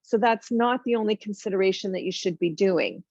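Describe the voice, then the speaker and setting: A woman's voice: mid-range voice, fairly quick, gravelly, a little mellow, no room sound, lo-fi sound. A woman hosting a finance webinar, talking informally and emphatically through numbered points with frequent ums and asides.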